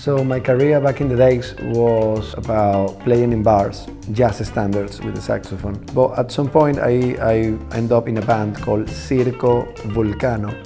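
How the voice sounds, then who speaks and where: A man's voice: medium pitch, fairly even intonation, measured pace, vocal fry, few pauses. A Venezuelan band leader and musician speaking English with a Venezuelan Spanish accent. He speaks Spanish natively and tells his story thoughtfully and earnestly, sometimes searching for his words. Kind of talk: reflective documentary interview. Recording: music playing underneath.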